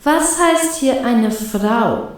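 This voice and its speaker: A woman's voice: on the high side, lively intonation, very slow. A woman playing a brusque young pirate woman, curt and irritable, in an audio story. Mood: menacing. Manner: curt, annoyed, defiant.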